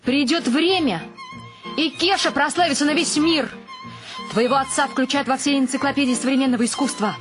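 A woman's voice: high-pitched, normal speed, music in the background, close to an everyday voice. An actress playing a devoted middle-aged wife and mother in a radio play, soothing and encouraging in a lofty way. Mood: devoted pride.

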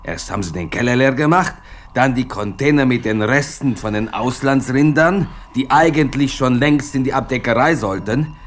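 An actor in a German radio drama playing a sick, frightened slaughterhouse guard giving halting, agitated testimony. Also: male voice, mid-range voice, lively intonation, natural voice. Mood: grim, haunted.

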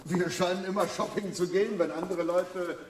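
An actor playing a talkative, shrewd companion in a crime radio drama. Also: male voice, sits high, steady tone, normal speed, far from the mic, noisy background, natural voice. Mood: wry, curious. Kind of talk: 1980s radio drama acting.

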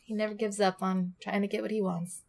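A woman's voice: medium pitch, level tone, a little fast, gravelly, darkish tone. A woman co-hosting a podcast, chatting casually and cheerfully with frequent laughter. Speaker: podcast co-host. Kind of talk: casual chat.

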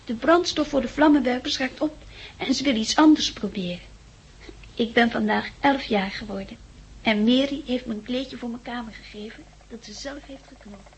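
A woman's voice: on the high side, normal speed, breathy edge, lo-fi sound. A woman playing an eager, easily frightened eleven-year-old farm girl in a 1960s Dutch radio drama. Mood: earnest, proud.

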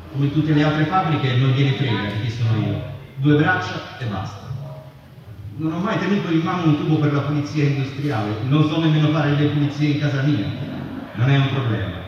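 A man giving a deliberate, dramatic literary reading of a first-person account, with short phrases and weighty pauses. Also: medium pitch. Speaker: reader at a literary performance. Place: live festival performance in a large reverberant space. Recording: very echoey hall, distant, muffled, music over it. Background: loud music.

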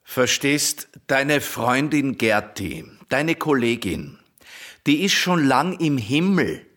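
An actor playing a patient Viennese family man, gently explaining things to a confused old woman in a radio drama. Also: medium pitch, big pitch swings, slow pace.

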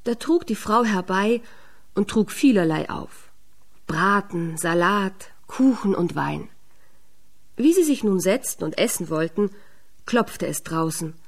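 A woman's voice: medium pitch, expressive, takes it slowly. A woman storyteller reading a scripted fairy tale narration.

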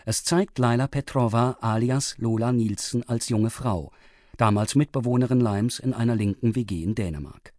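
A man's voice: mid-range voice, a little mellow, no room sound. Sober male radio announcer, very dry sound. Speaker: announcer summarising the story so far. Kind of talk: scripted radio narration, factual recap.

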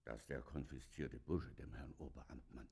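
An actor playing an old, suspicious, servile town gatekeeper in a German radio play, talking in a folksy, excitable, deferential way. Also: male voice, a bass voice, normal speed, vocal fry, breathy edge, heavily acted. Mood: excited, indignant.

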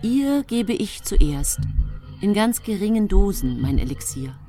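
An actress playing a secretive, falsely devoted poisoner who confesses in measured radio drama narration. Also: female voice, mid-range voice, big pitch swings, mellow. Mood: quietly scheming. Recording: studio radio drama with music.

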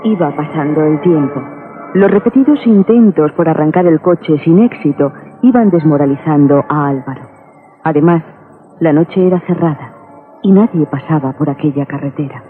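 A male actor as a grave storyteller in a radio play, speaking. His voice is fairly high for a man, with soft music behind it and a thin, phone-like sound. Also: natural voice. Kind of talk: scripted narration. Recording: narrow-band old radio recording.

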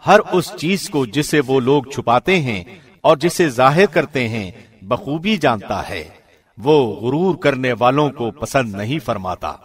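A man reading a scripture translation formally, with measured, solemn and carefully phrased delivery. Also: medium pitch, expressive, breathy edge. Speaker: professional radio host reading translation.

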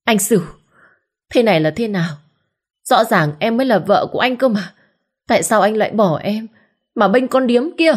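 A woman's voice: mid-range voice, animated, moderate pace, pauses often, pitched above the performer's own voice, natural voice. A woman voicing a pregnant young wife who wails theatrically and complains shrilly. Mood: hysterical.

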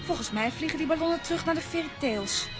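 A woman's voice: high-pitched, animated, fast, over loud music. An actress playing a sassy, stubborn, eager little girl in a children's radio play. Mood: suspicious, eager. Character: feisty, stubborn, curious little girl heroine.

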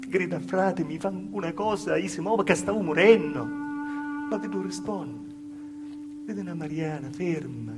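A plaintive Calabrian village woman, gravelly. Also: on the high side. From southern Italy, Calabria. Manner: plaintive, repetitive storytelling with swings in intensity. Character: humble, wronged southern Italian village woman recounting her suffering. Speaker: stage actor performing a monologue. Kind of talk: theatrical monologue in dialect. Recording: live stage performance with music. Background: live music.

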